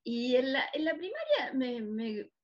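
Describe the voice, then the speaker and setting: A woman with an Argentine accent speaking conversationally and cheerfully as an interview guest, on dull, low-fidelity call audio. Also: huge pitch swings, a little slow. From Córdoba, Argentina. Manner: cheerful, chuckles between phrases. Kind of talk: conversational personal reminiscence.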